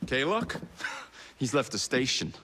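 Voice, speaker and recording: An actor playing a cocky, brash young Victorian gang leader with a gravelly voice in a video game. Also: male voice, medium pitch, very animated, slow, noisy background. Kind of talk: video game cutscene acting.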